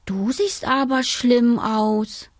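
A woman's voice: fairly high pitch, slow pace, dry recording, pitched above the performer's own voice. A woman voicing an indignant, determined young girl in a storybook, speaking emphatically and frankly. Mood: concerned, disgusted.

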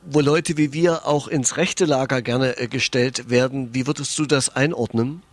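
A man hosting an interview, asking measured, calm questions of a guest on the phone. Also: mid-range voice, no room sound. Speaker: interview host.

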